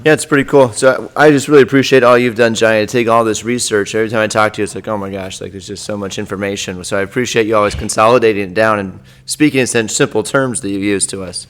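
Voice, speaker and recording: A man's voice: fairly low pitch, fairly even intonation, quick pace, few pauses, fairly bright tone. A man hosting a podcast, thanking his guest and addressing the listeners directly. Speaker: podcast host. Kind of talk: casual interview chat.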